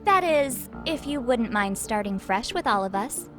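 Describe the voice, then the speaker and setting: A woman's voice: fairly high pitch, lively intonation, measured pace. A woman playing a wheedling teenage student, teasing and mock-innocent, in a video game cutscene. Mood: sly, coaxing. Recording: music behind the voice.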